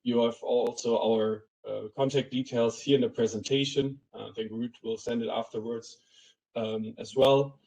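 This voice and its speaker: Guy doing a software product walkthrough, gravelly fry in his voice, keeps stopping for ums and uhs. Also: medium pitch, steady tone, rough recording. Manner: hesitant, halting delivery with many ums and uhs. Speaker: software company presenter. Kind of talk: product presentation, explanatory.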